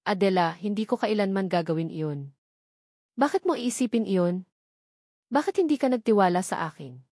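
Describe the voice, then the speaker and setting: A woman's voice: medium pitch, expressive, moderate pace, mellow, no echo, studio quality, close to an everyday voice. Woman reading as an earnest, intense male doctor. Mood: tender, remorseful. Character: earnest, remorseful male doctor, protective lover and father.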